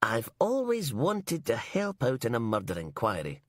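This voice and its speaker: A man's voice: medium pitch, huge pitch swings, normal speed, no echo, studio quality. A man reading an audiobook, voicing an eager, chatty elderly man with a wheezy edge. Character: chatty elderly male hall caretaker, eager.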